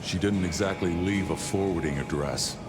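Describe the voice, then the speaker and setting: An actor playing a laid-back, gruffly caring man who keeps a bar in a video game, folksy and casual. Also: deep voice, moderate pace, over music, close to an everyday voice. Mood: reluctant, caring.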